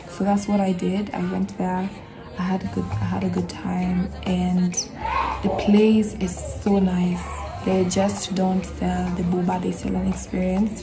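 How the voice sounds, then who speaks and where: A young woman with a Kenyan accent, chatting casually to her phone camera from a small bedroom as she reviews a café. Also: medium pitch. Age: young adult. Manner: chatty, animated hand gestures, emphatic asides. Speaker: vlogger.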